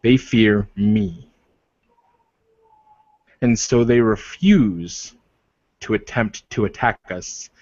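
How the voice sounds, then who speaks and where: A man's voice: on the low side, a little mellow. A man playing a protective, proud werewolf warrior leader in a tabletop game, speaking in emphatic declarations. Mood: grave, forceful. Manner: slow, emphatic, declarative speech. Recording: roomy, dull lo-fi microphone.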